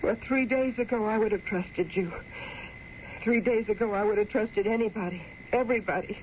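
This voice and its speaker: A woman's voice: medium pitch, normal speed, lightly acted. An actress playing a determined, distrustful armed woman of the Old West in a radio drama, speaking in an emphatic, dramatic way. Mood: wary, intense. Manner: emphatic, dramatic, repeats words for effect. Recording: muffled, noisy old radio drama recording.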